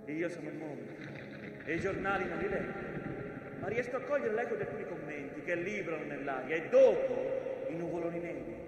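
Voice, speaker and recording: In a long, distant stage reverb, an ancient mountain speaks through a male actor. The voice is high and projected, full of theatrical declamation. It tells its story with dramatic weight and biting irony. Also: lively intonation, brisk, natural voice. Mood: solemn. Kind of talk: theatrical monologue. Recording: echoey theatre stage, distant mic, faint noise.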